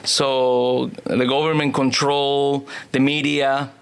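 A man's voice: medium pitch, flat delivery, gravelly, smooth. A man in his forties with a Cuban accent, a native Spanish speaker, telling his story conversationally as an interview guest and searching for words. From Cuba. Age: 40s. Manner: halting, searching for words in second language.

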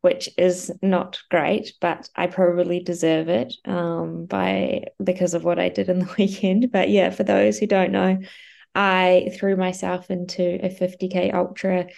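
A woman's voice: on the low side, little pitch movement, a little slow, creaky, darkish tone. A young Australian woman co-hosting a podcast, talking in a chatty way with fillers, her nose blocked up from a cold. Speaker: podcast co-host. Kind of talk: casual podcast chat.

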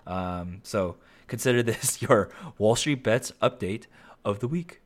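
A young man hosting a solo podcast, talking casually with lots of fillers and self-corrections, his voice slightly raspy. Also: fairly low pitch, a little slow, faint music behind. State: slightly raspy voice with occasional coughing. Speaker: podcast host.

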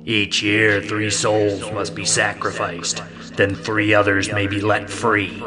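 A slow, rasping spirit speaks in a low, echoing voice, cold and unhurried, as a man puts it on. Quiet music plays beneath it. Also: monotone. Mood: ominous. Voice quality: rasping, echoed. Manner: slow, solemn pronouncements.

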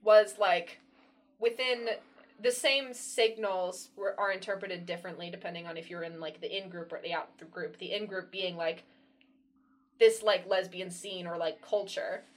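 A young woman co-hosting a casual podcast chat, rambling with lots of fillers and quick laughs. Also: on the low side, steady tone. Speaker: podcast co-host.

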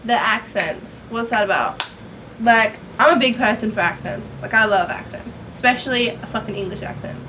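A woman's voice: on the high side, normal speed, noisy background. A woman co-hosting a podcast in casual chat, blunt and laughing, swearing freely. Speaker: podcast co-host.

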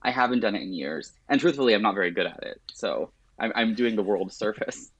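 Low-voiced man, dry close sound. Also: lively intonation, fast talker, fairly bright tone, slightly rough, dry recording. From born in Lebanon, grew up partly in rural Alberta, Canada. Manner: wry, self-deprecating, chatty. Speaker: realtor, interview guest. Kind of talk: casual interview chat.

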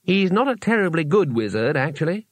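A man's voice: fairly high pitch, sing-song, a little fast, no echo, voice pushed up. A man voicing a naive, chatty male tourist in a storybook, polite and earnest with a hesitant way of explaining. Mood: confiding.

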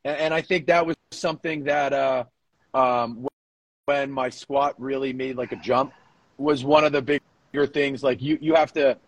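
A man co-hosting a casual sports podcast, talking in a halting way, circling back over phrases and swearing casually. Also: medium pitch, fairly even intonation, no room sound, low-quality recording. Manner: halting, repeats phrases as he works out a point. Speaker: podcast co-host; competitive powerlifter.

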